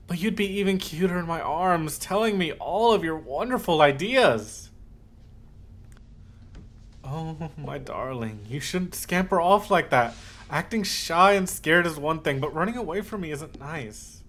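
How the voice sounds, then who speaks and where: A man playing an obsessive, possessive, lovesick yandere in a scripted ASMR roleplay, speaking haltingly with cooed endearments. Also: on the high side. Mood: eager, insistent, then wounded, coaxing. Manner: halting, cooing endearments, swings from hushed to insistent.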